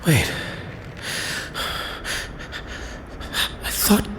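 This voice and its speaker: A man playing a weary, anxious armored adventurer in an audio drama, halting and stammering in a breathy voice as he thinks aloud. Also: natural voice. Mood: confused, uneasy. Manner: halting, stammering, gasping with effort. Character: weary, anxious armored male adventurer struggling through snow. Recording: loud wind and blizzard noise.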